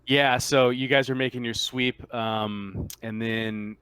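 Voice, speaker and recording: Clear, dry-sounding man as game master. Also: medium pitch, fairly even intonation, slow, a bit bright, no echo, low-quality recording. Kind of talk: improvised tabletop game narration, casual.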